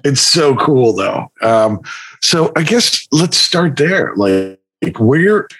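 A man's voice: medium pitch, sing-song, slow, a bit bright. A man hosting a podcast, asking questions in a loose, enthusiastic, rambling way full of fillers. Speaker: podcast host. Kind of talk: casual podcast chat.

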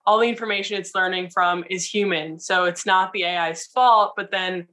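A woman's voice: medium pitch, steady tone, moderate pace, bright, forceful tone. A woman being interviewed, explaining her thoughts in a casual, informal way with "like" scattered through her sentences. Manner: informal, frequent 'like' as filler. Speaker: interview guest.

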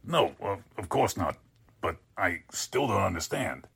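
An actor playing a bewildered, flustered newspaper boss, halting and exclamatory, in 1940s radio drama style. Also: male voice, low-pitched, big pitch swings, vocal fry, a bit bright, slightly rough, dry recording, exaggerated voice. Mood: puzzled.

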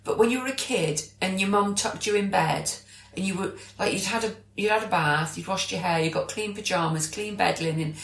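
A woman's voice: mid-range voice, a little fast. A woman chatting casually and informally, with an inquisitive way of talking. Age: adult. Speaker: podcast host. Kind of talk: casual chat. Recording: echoey room.

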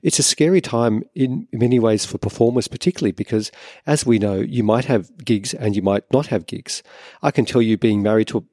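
A man speaking as a panel guest, explaining things in an articulate, measured way. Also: medium pitch, mellow, no room sound, clean studio sound. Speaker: panel guest, arts educator. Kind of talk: considered panel discussion.

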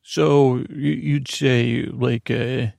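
A man hosting a bedtime podcast in a meandering, halting monologue full of trailing asides, with a creaky, gravelly voice. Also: medium pitch, steady tone, darkish tone, no room sound, clean studio sound. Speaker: podcast host.

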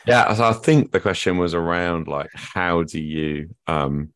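A man hosting a podcast, asking his guest a follow-up question in a soft, halting way. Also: sits low, steady tone. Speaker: podcast host. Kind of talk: casual podcast conversation.

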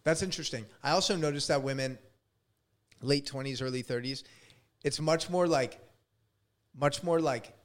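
A man in his thirties hosting a podcast, chatting casually and relaxed, with lots of "like" and some swearing. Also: mid-range voice, normal speed, pauses often. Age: 30s. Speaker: podcast host. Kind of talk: casual interview chat.

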